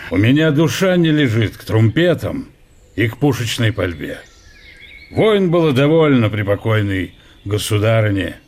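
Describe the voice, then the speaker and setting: A blunt weary old scholar. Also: male voice, on the low side, very animated, a little mellow. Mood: reluctant. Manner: blunt, measured. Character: gruff, weary older scholar, unwell man. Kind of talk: radio play acting.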